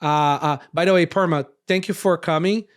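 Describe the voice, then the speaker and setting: A man from Brazil hosting a casual roundtable podcast, rambling and often tagging his points with quick questions. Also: on the high side, fairly even intonation. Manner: rambling, frequent tag questions. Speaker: podcast host.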